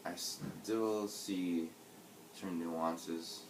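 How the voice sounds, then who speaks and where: Deep-voiced young man, reflective and hesitant. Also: steady tone, drawn-out words, roomy sound, slight background noise, poor audio. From United States. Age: 20s. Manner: reflective, hesitant, with fillers and restarts. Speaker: interviewee. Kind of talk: casual interview answers.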